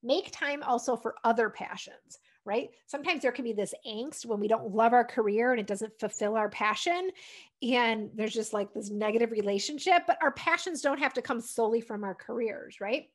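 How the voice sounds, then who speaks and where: High-voiced American woman coaching encouragingly. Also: normal speed. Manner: encouraging, conversational, often ending points with "right?". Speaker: wellness coach and educator. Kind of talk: instructional talk to camera with a guidebook. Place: furnished room at home, indoors. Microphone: desktop condenser microphone.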